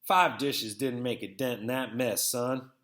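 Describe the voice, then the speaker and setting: A man reading a first-person story aloud as narrator, with an expressive, folksy storytelling manner. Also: mid-range voice, unhurried. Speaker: read-aloud narrator, teacher. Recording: echoey, roomy sound.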